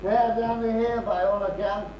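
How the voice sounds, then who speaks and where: A man's voice: sits high, steady tone, normal speed, muffled recording, lightly acted. A man with a British accent acting a rambling, self-pitying drunken man in an amateur stage comedy. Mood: aggrieved. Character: maudlin, rambling drunken man abandoned by his partner. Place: small theatre stage. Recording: echoey, distant stage recording with hum.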